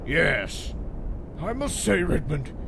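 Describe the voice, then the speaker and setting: Creaky, pompous, dim-witted old tycoon. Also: male voice, on the high side, big pitch swings, takes it slowly, background noise, exaggerated voice. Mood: scheming. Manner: pompous, melodramatic, doddering. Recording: loud music behind the voice.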